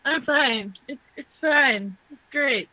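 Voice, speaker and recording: A woman's voice: sits high, slow pace, no echo. A woman chatting casually as she riffs on a movie with friends, wry and teasing. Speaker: movie commentary host. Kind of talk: casual riffing commentary with friends. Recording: phone-like internet call.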